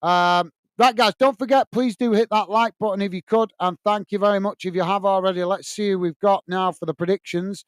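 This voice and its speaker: A man's voice: sits high, steady tone, brisk, few pauses, smooth, no echo. A man with a Lancashire accent hosting a casual football live stream from a small home studio, talking bluntly and passionately and addressing viewers by name. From England. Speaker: football channel host reading live chat.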